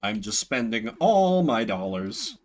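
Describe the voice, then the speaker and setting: A man streaming a game playthrough, casually commenting and reading game text aloud in an expressive, slangy way. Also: fairly low pitch, slow.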